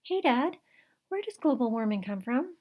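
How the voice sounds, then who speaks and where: Woman acting young girl scientist, high-pitched, eager, inquisitive, determined, earnest, storybook character. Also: very animated, normal speed, dark tone. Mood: curious. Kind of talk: picture book character voice.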